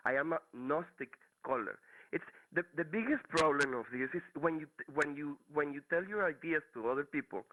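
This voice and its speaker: A man's voice: on the high side, phone-quality sound. A man from Mexico with a Mexican Spanish accent, calling in to a talk show and searching for words with many restarts. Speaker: caller. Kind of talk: casual call-in chat.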